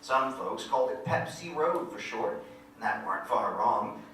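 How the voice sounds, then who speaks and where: A man's voice: medium pitch, animated, normal speed, fairly bright tone, lightly acted. A man playing a wistful country narrator looking back on boyhood, telling it lyrically with folksy rural grammar. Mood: reflective. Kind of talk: poetic first-person monologue in staged reading. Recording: very echoey hall, distant mic, faint noise.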